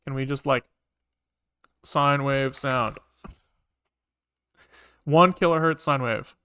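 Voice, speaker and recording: A man's voice: medium pitch, takes it slowly, sharp tone, clear, resonant tone. A man talking casually to himself as he works through code on a live stream, informal and muttering. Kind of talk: casual think-aloud live coding commentary.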